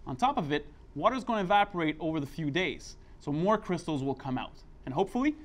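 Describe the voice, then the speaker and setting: A man's voice: on the high side, very animated, quick pace. A middle-aged man hosting a casual, explanatory science demonstration in an indoor lab, speaking informally as he works. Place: indoor school chemistry lab.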